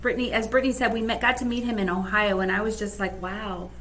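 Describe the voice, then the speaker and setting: A woman's voice: mid-range voice, fast, fairly bright tone, rough recording. A woman co-hosting a weekly live online show, introducing a guest in a rambling, casual way. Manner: rambling, many fillers. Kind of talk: casual livestream chat.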